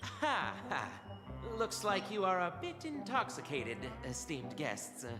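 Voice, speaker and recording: A woman's voice: on the low side, huge pitch swings, a little slow. An actress playing a polite, coy female hotel server in a video game, in an echoey space with music behind. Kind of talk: video game dialogue acting.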